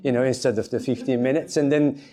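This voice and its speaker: A man who grew up in Turkey talks as a podcast guest with a mellow, dark-toned voice. He rambles loosely, circling back with fillers and restarted phrases, at an ordinary middle pitch. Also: fairly even intonation, fast talker. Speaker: podcast guest, community advisor. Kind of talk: casual chat.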